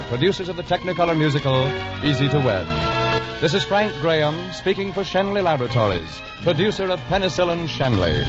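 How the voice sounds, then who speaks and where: A male vintage radio announcer with a formal, polished delivery. He projects his words clearly over a muffled old broadcast. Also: on the high side.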